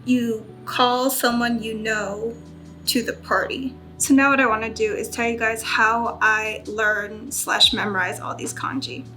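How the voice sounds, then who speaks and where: Female study vlogger, very unhurried delivery, casual and careful with pronunciation, light music underneath. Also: fairly high pitch, level tone, sharp tone, low-quality recording. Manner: self-conscious, careful when pronouncing foreign words. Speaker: study vlogger and Japanese learner. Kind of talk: casual study-with-me chat, sounding out vocabulary.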